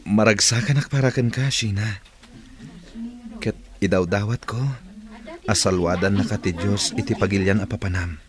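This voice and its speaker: An actor playing a humble, repentant young prisoner who has turned devout, in an Ilocano radio drama. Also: male voice, lowish voice, fairly even intonation, normal speed, pauses often, crowd noise, natural voice. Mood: earnest, grateful. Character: repentant, humble young male prisoner, born-again believer.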